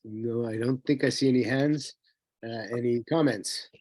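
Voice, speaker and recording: A man's voice: mid-range voice, fairly even intonation, moderate pace, darkish tone, no echo. A man chairing a council meeting who speaks politely and hesitantly and often apologises. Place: council chamber.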